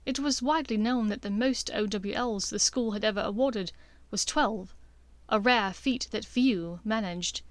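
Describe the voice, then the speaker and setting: A woman's voice: medium pitch, measured pace, dry recording. A woman reading scripted fiction as an audiobook narrator.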